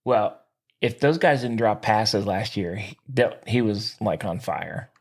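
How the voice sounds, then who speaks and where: Animated American man with a gravelly voice. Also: on the low side, unhurried. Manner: blunt, opinionated, slangy, teasing. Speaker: sports podcast co-host. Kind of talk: casual sports banter.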